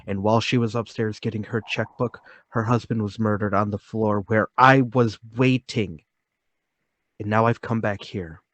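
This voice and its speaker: An actor playing a timid, troubled, lonely man confessing to murder in a radio-play table read, halting, pleading and intense. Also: fairly low pitch, no room sound, lightly acted. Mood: brooding.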